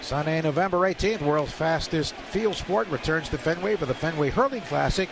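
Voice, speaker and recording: A man with a fairly high voice delivers live baseball play-by-play for radio in short, clipped phrases. Loud ballpark background noise runs behind him throughout. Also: moderate pace, vocal fry. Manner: clipped phrases, rising to excited calls on big plays. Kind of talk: live radio sports commentary. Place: ballpark broadcast booth.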